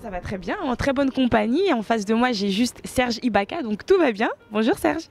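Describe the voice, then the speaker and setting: A woman co-hosting an interview, speaking in a warm, chatty, admiring way. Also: a little high, animated, normal speed. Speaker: co-host.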